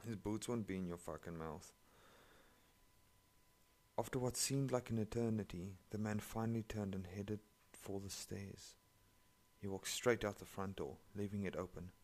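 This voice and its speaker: A man, not an English speaker, reading online stories aloud and breaking in with sarcastic, profane commentary. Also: on the low side. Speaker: story reader.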